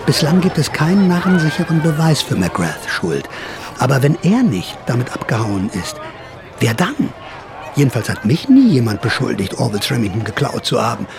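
A man's voice: mid-range voice, big pitch swings, moderate pace, creaky. An actor playing a sly older counterculture veteran who narrates his memories in a radio drama, confiding, wry and theatrical. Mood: confessional, ironic.

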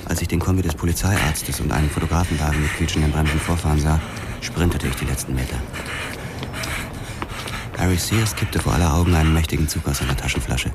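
Very deep-voiced hardboiled detective. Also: male voice, fairly even intonation, quick pace, very noisy. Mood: tense. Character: hardboiled young male police detective, terse and brooding. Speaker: first-person narrator. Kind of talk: scripted noir crime narration.

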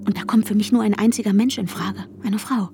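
An actress playing an anxious, controlling woman searching for her missing fiancé in a radio drama, intense and hushed, in short clipped sentences. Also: medium pitch, steady tone, fairly quick, vocal fry, slight background noise, natural voice. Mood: tense, brooding. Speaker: protagonist and narrator. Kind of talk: radio drama inner monologue and dialogue. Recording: music under the voice. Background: music.

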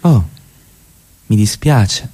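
A man's voice: lowish voice, animated, extremely slow, mellow, breathy edge, exaggerated voice. A man acting in radio theatre as a blunt, teasing middle-class husband, with an emphatic, insistent delivery. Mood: polite, probing.

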